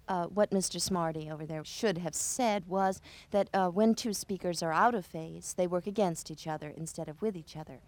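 A woman playing a sassy, needling loudspeaker. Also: lowish voice, natural voice. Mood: explaining. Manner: sarcastic, needling, quick to snap. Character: sassy nagging female loudspeaker. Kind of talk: comedy sketch on a test record.

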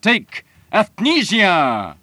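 A man playing a sympathetic, florid patent-medicine pitchman in a vintage radio advertising parody. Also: wildly swooping pitch, very slow, heavily acted. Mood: earnest, salesmanlike. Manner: florid, emphatic, salesmanlike.

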